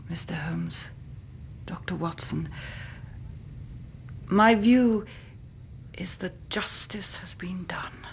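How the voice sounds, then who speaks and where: Slow, creaky, shaken English gentlewoman. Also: on the low side, a little hiss or hum. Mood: frail resolve. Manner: halting, formal, hushed delivery. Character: shaken, genteel young Victorian Englishwoman, quietly resolute. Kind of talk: radio drama acting.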